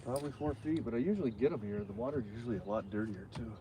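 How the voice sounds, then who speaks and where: A man's voice: mid-range voice, expressive, racing, background noise. A man with a stuffy nose, casually talking through a live fishing stream from out on a lake, with lots of fillers and restarts. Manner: rambling, many fillers and restarts. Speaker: fishing livestream host.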